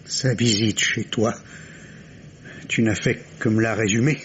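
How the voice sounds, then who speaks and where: A man's voice: lowish voice, fairly even intonation, unhurried, fairly bright tone. An actor playing an anguished, grave man torn between love and suspicion, in the theatrical style of a 1950s radio mystery. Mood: troubled. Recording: dull, noisy old radio recording.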